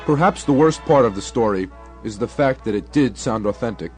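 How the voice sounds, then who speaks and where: Young male documentary narrator, medium pitch. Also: lively intonation, a little fast, a little mellow, soft background music. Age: young adult.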